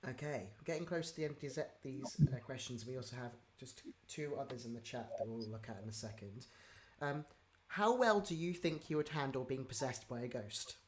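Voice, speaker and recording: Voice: medium pitch, lively intonation, brisk, few pauses, background noise. A host and writer chatting casually and gigglingly while putting questions to a guest in a livestream Q&A. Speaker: host and writer of an audio drama series.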